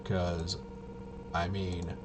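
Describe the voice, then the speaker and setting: A man casually talking himself through a tabletop game walkthrough, halting and unscripted, muttering as he thinks. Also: deep voice, level tone, background noise. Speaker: host of a tabletop roleplaying video.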